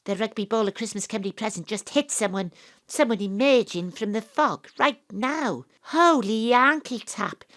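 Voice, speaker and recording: A woman's voice: a little high, animated, moderate pace, a bit bright. A woman playing an exasperated, inquisitive young investigator in an audio drama, exclaiming and questioning. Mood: excited.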